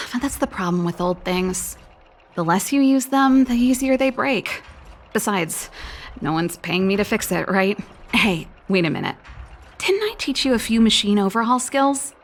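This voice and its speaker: A woman's voice: medium pitch, animated, normal speed. A woman playing a breezy, confident older-sister mechanic in a video game, chatty and teasing with a nostalgic streak. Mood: playful, wistful.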